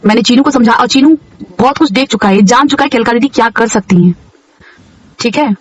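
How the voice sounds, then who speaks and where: A woman's voice: on the high side, brisk, recorded from a distance. A woman in a heated, informal phone conversation, speaking emphatically and repeating words insistently, on a call recording.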